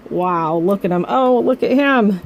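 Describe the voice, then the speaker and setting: A woman reading a children's picture book aloud in the expressive manner of a grandmother reading to kids. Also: mid-range voice, unhurried, lo-fi sound, a little hiss or hum. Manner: expressive, acting out the dialogue.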